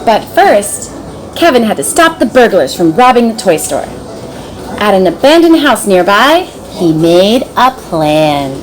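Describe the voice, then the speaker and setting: A woman narrating a children's storybook in an expressive, dramatic storytelling manner. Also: medium pitch, unhurried, a little hiss or hum. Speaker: storybook reader.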